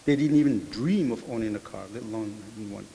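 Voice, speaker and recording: A middle-aged man from Morocco answering as a panelist in a thoughtful, measured way. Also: fairly low pitch, gravelly, dark tone. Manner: thoughtful, measured, with filler pauses. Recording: hall reverb, faint hiss.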